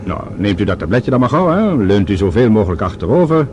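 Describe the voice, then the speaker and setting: A man acting a smooth-talking, courteous older doctor in a Dutch radio drama, formal and solicitous. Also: fairly low pitch, big pitch swings, quick pace, darkish tone. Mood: affable, attentive. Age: middle-aged or older. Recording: old radio drama with clear background noise.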